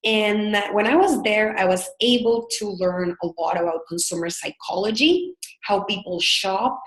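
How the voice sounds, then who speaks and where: A woman's voice: medium pitch, unhurried, roomy sound, low-quality recording. A woman from El Salvador with a Salvadoran Spanish accent, a business coach giving an earnest, upbeat live webinar with frequent filler words.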